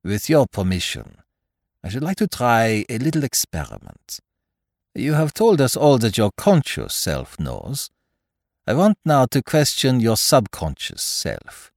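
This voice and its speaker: A man's voice: mid-range voice, huge pitch swings, a little mellow, dry recording, studio quality, voice pushed up, exaggerated voice. Man voicing a courteous, shrewd foreign detective, swooping delivery. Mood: gently coaxing. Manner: formal, deliberate, questioning, sprinkled with French phrases. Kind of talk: audiobook character voice.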